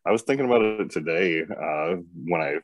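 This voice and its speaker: Deep-voiced rambling man in his forties. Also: expressive, moderate pace, no room sound. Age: 40s. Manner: rambling, many fillers, laughs often. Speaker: guest, tattoo artist. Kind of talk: casual interview chat. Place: indoor room. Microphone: webcam on video call.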